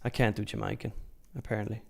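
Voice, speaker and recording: A man's voice: lowish voice, level tone, fast, creaky, a little mellow, breathy edge. Informal man hosting a trading livestream. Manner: informal, joking, swears freely. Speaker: live stream host, futures trader. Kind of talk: casual live trading commentary, reading out and answering chat.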